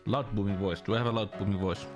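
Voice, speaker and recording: Low-voiced man rambling over game music. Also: fairly even intonation, fast talker. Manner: rambling, playful self-talk. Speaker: streamer. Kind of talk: casual gameplay chat.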